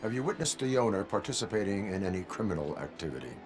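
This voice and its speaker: Cyborg lawman for a video game: very low male voice, formal, clipped, robotic and dutiful, faint music behind. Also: sing-song, fast talker. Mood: stern, questioning. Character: stiff, dutiful cyborg police officer, part man part machine.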